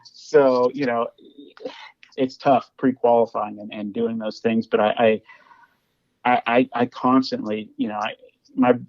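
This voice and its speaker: A man with a Midwestern accent talks shop as a podcast guest. He rambles, repeats words and restarts his sentences often. His voice sits at a middle pitch and sounds dry and slightly dull, as if from a lo-fi recording. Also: lively intonation, unhurried, gravelly, long pauses, dry recording. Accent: Midwestern American. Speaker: guest, flooring business owner. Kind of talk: casual podcast conversation. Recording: dull lo-fi audio.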